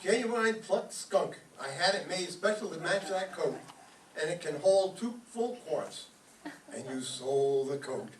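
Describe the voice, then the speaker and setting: A man's voice: high voice, animated, measured pace. A man playing a bickering, tightfisted husband in old-time radio comedy style, wisecracking and exclaiming theatrically. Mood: dismayed. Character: bickering, grumbling, tightfisted husband, excitable and wheedling. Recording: roomy, distant mic with background noise.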